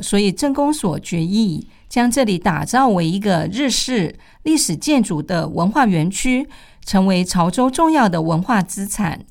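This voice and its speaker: An older woman hosts a radio program in a Taiwanese Mandarin accent. Her mid-pitched delivery is conversational but prepared. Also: vocal fry, darkish tone.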